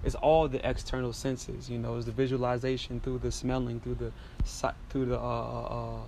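A man's voice: mid-range voice, little pitch movement, moderate pace, gravelly, warm tone, slight background noise. A young man whose first language is French and who grew up in France, talking in a reflective, rambling way and leaning on "you know" a lot. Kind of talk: casual conversation.

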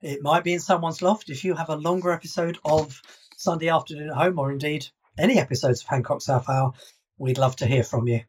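Husky man discussing an old script. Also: fairly high pitch, steady tone, a little fast. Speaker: podcast co-host. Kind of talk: casual discussion, reading script excerpts.

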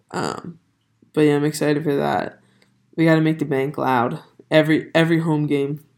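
Hoarse young podcast host, rambling, lots of ums and restarts, monotone delivery, mid-pitched voice. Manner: rambling, filler-heavy, self-correcting. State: hoarse, voice not fully back. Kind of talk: casual solo podcast chat.

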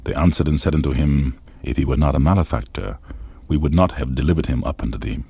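A man narrating scripture in a grave, deliberate, formal reading style. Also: rumbling low, gravelly, mellow, husky, no echo. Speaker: Bible reader.

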